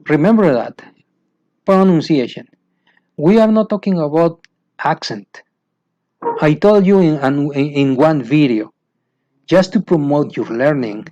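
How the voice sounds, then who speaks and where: A man's voice: fairly high pitch, dark tone, no echo, dull audio. A man from Mexico with a Mexican accent, a Spanish speaker teaching other teachers about an English method, repeating points and often asking "you know why?". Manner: repetitive, asks "you know why?" with rising emphasis. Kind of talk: instructional talk to teachers.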